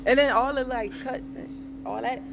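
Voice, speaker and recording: A woman's voice: high-pitched, normal speed, vocal fry. Blunt, dismissive woman over noise. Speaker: show contestant. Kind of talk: candid explanation.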